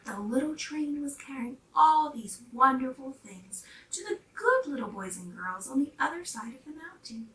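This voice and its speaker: A woman reading a children's story aloud in an expressive storytelling style. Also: high voice, normal speed, few pauses. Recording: roomy, distant microphone.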